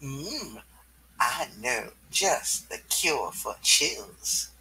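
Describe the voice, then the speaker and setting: A man's voice: mid-range voice, very animated, extremely slow, pushed and bright, slight background noise, exaggerated voice, rough recording. A man voicing a seductive, thrill-seeking female cat burglar in a comic book reading, with a purring, teasing, flirty delivery.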